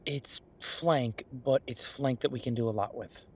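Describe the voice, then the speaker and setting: A man playing an affectionate, teasing chef boyfriend in an intimate roleplay audio, talking in a conversational, reassuring way with a husky voice. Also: mid-range voice, unhurried. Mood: playful, sheepish. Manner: conversational, reassuring, playfully bantering. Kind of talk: intimate one-sided boyfriend roleplay acting.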